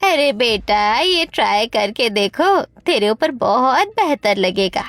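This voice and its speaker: A woman's voice: on the high side, sing-song, slow, fairly bright tone, no room sound, exaggerated voice. A woman voicing a haughty, mocking rich mother-in-law in a cartoon story, with showy, taunting delivery. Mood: doting. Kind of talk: Hindi cartoon story voice acting.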